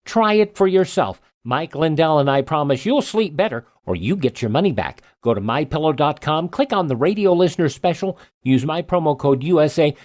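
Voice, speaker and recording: A man hosting a radio program, talking in an announcer-like way as he reads out items and pitches. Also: expressive, moderate pace, few pauses. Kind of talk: radio hosting and live-read ads.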